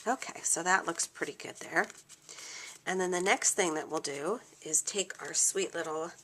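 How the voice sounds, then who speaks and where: Bright-voiced woman with a stuffy cold. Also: lowish voice, slight background noise. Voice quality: slightly nasal. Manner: chatty, thinking aloud, soft asides while working. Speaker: craft video host. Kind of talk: casual craft tutorial.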